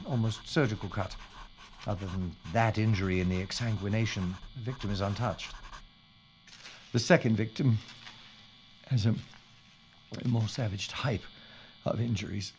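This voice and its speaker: An actor playing a gruff but courteous London police inspector in an audio drama, with a brisk, commanding, proper manner. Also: male voice, lowish voice, fairly even intonation, close to an everyday voice. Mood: grim. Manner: brisk, commanding, proper British turns of phrase. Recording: dull, noisy recording with clicks.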